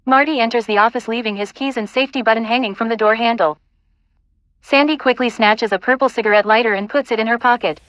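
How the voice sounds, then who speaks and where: Higher-pitched woman narrating a script. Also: quick pace, fairly bright tone, rough recording. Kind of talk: scripted narration of stage directions.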